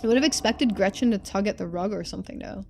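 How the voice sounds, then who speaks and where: A woman's voice: medium pitch, quick pace, a little hiss or hum. A woman reading game narration expressively and adding casual commentary as a streamer.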